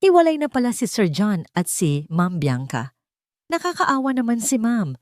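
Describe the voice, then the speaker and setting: A woman's voice: on the high side, big pitch swings, moderate pace, dry recording, clean studio sound, voice pushed up. A woman voicing a scheming, gossipy waitress in an audio drama, insinuating and falsely sympathetic. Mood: sly feigned pity.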